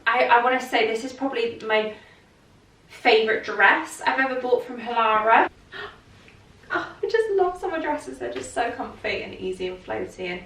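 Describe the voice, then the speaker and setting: A woman's voice: fairly high pitch, lively intonation, normal speed, fairly bright tone. A woman vlogging a casual, enthusiastic try-on chat with fillers, heard from a distance. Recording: echoey room from a distance.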